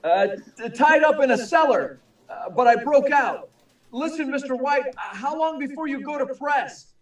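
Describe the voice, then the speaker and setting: A man's voice: very high voice, moderate pace, a bit bright, distant mic. A man playing an earnest, urgent young newspaper reporter in a 1940s-style radio drama. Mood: eager, pressing. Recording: echoey room, away from mic.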